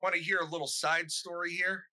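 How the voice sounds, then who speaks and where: A man's voice: high-pitched, little pitch movement, fairly quick, pushed and bright. A man, a military veteran, chatting casually on a podcast and telling stories. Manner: storytelling, steers the conversation.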